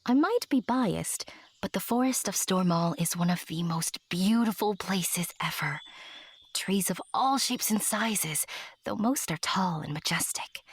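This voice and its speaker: A woman playing a chatty, whimsical narrator in a fantasy audio drama, breathy and confiding, with playful asides. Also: on the low side. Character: chatty, whimsical storyteller fond of her enchanted forest.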